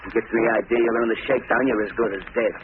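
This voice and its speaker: A man's voice: lowish voice, fairly even intonation, rapid-fire, close to an everyday voice. An actor playing a bossy, impatient American gangster henchman in 1930s radio drama, with clipped, slangy tough-guy talk. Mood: bossy, threatening. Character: hard-boiled male gangster henchman, bossy, impatient, suspicious. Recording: tinny, noisy 1930s radio recording.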